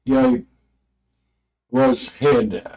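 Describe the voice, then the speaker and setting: A man reading an old religious text aloud in a halting way, stumbling over words and names. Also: medium pitch, steady tone, a little mellow.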